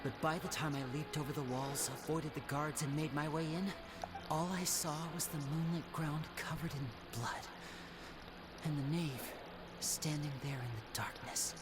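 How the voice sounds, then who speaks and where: Man acting earnest young magician, slightly high voice. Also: loud music behind the voice, close to an everyday voice. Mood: somber. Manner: measured, solemn storytelling. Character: earnest young male stage magician recounting a painful past. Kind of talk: scripted video game dialogue. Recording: loud game ambience behind the voice.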